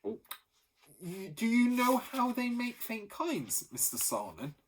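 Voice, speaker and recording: A man's voice: extremely high pitch, wildly swooping pitch, unhurried, slight background noise, voice pushed up, full character voice. A man voicing an eager, curious young boy asking questions in a storybook.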